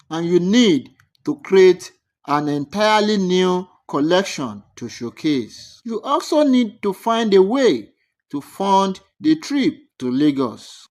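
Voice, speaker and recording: A storyteller voicing a male business mentor who is measured, instructive, challenging and encouraging in a folktale. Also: medium pitch.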